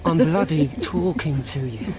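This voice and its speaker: An actor playing a drunken football hooligan in a radio drama, loud, mocking and confrontational. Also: male voice, a little high, measured pace, over a noisy crowd, natural voice. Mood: taunting, rowdy. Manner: taunting, repetitive, confrontational questioning.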